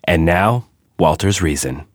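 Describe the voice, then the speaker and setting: A man's voice: sits low, expressive, measured pace. A man reading the production credits and introduction in a formal, scripted announcer style.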